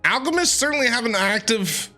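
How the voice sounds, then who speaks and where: A man's voice: very high voice, animated, a little fast, pushed and bright, soft background music. A man streaming a game, chatty and teasing, playfully reacting aloud with exaggerated commentary.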